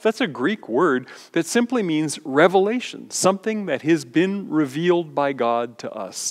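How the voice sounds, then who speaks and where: A middle-aged male pastor giving a measured, reflective sermon in a church sanctuary, his phrases often trailing off softly. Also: on the high side. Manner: measured, reflective preaching with soft trailing endings. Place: large church sanctuary, standing before the altar. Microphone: far camera. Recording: long church reverb.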